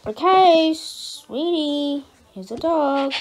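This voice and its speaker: Child doing a coaxing pretend mom, high voice, faint hiss behind. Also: drawn-out words, heavily acted. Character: patient coaxing mother soothing a scared daughter. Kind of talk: pretend play skit.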